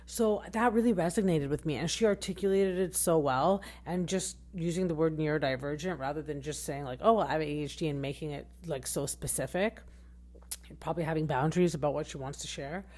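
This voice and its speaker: A woman in her thirties hosting a casual podcast recap, very expressive, her voice swinging high and low as she rambles with fillers and restarts. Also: a little fast. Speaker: podcast host.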